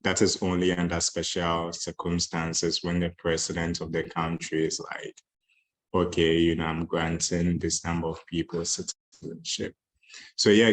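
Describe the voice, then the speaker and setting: A man giving a relaxed talk with many fillers, speaking on a video call. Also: deep voice. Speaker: conference speaker on moving to Ghana. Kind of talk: casual talk.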